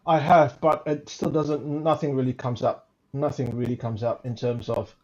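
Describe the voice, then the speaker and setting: A man's voice: mid-range voice, steady tone, moderate pace, a little mellow, rough recording. A man casually telling his own story as a guest, repeating words and adding tag questions for emphasis.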